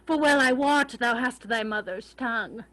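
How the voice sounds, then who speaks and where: A woman's voice: a little high, moderate pace, fairly bright tone, lightly acted. A woman playing a venomous, vengeful young nobleman in a Shakespearean verse reading, with seething, emphatic declamation. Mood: cold contempt. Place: remote reading over a video call.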